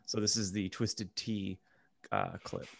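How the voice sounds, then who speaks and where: A man with a somewhat low-pitched voice chats as a podcast co-host. His delivery is informal and rambling, like off-the-cuff talk among friends. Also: huge pitch swings, slow pace, vocal fry. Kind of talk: casual chat.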